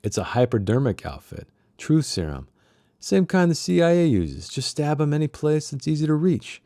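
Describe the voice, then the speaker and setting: A man's voice: on the high side, sing-song, moderate pace, dark tone, no room sound, studio quality, voice pushed up. A man reading novel dialogue as a joking skip-tracing partner of a detective, wry and slangy. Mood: matter-of-fact. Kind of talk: novel dialogue read aloud.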